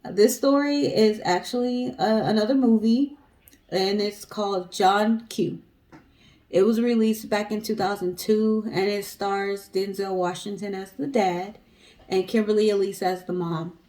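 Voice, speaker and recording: A woman's voice: medium pitch, fairly even intonation, measured pace, warm tone. A woman with an American accent co-hosting a storytelling show, talking casually to viewers and recounting stories. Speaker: co-host of a storytelling guessing show.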